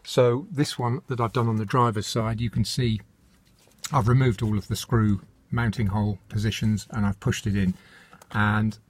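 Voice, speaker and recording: A man's voice: lowish voice, little pitch movement, moderate pace, a little hiss or hum. A British man presenting an informal hands-on how-to in an unscripted, matter-of-fact, slightly hesitant manner.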